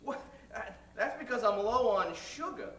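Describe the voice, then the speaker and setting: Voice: medium pitch, animated, moderate pace, a bit bright, recorded from a distance. An adult performer playing an eager, wholesome schoolboy in a parody 1940s radio commercial. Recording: roomy stage sound, off-mic.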